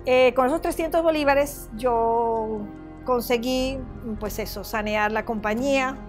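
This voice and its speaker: An older woman from Venezuela telling her own story in an interview, halting and circling back as she goes. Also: on the high side, over music. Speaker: property owner giving testimony. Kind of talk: personal testimony in an interview.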